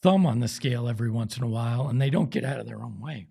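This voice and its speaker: A man's voice: mid-range voice, fast, gravelly, mellow, slightly rough. A man with an American accent hosting a sports show, speaking in an emphatic, conversational way. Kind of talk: opinionated sports talk and host-read ads.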